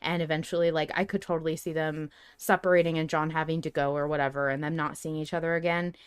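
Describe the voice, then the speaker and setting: A woman's voice: low-pitched, little pitch movement, quick pace, clean tone, no echo. A woman co-hosting a podcast, chatting casually in an emphatic, opinionated, sweary way. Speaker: podcast co-host. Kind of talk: casual fan discussion chat.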